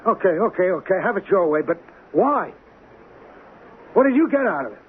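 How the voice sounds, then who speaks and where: An actor in a 1970s radio drama playing a wary, plaintive small-time hustler who talks in streetwise slang. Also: male voice, high-pitched, sing-song, normal speed. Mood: suspicious. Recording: tinny, noisy 1970s radio broadcast.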